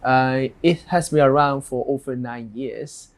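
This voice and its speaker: A man in his thirties from Hong Kong with a Hong Kong English accent, an architect answering interview questions in a halting, thinking-aloud way. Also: medium pitch.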